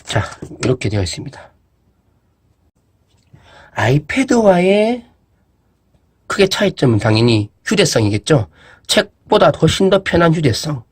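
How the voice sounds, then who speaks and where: Husky-voiced man chatting through a gadget demo. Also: on the high side, a little slow, pauses often. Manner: relaxed, chatty, thinks aloud with fillers and asides. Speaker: product reviewer. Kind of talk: casual product demo vlog.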